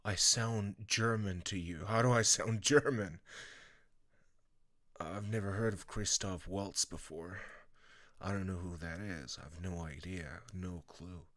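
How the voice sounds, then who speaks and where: Tired male streamer, deep low voice, rambling off-the-cuff chat to viewers, lots of fillers. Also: steady tone, a bit bright. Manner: rambling, halting, many fillers and restarts. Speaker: live streamer.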